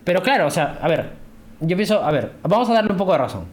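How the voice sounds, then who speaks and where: A man with a Peruvian accent chatting casually on a podcast in an animated, rambling, slangy way. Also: high voice, quick pace. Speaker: podcast host.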